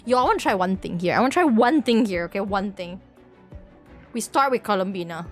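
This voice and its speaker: A woman's voice: medium pitch, animated, brisk. A woman streaming a game with casual, playful chat, often exclaiming and repeating her phrases. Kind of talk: casual livestream gameplay chat. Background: game music.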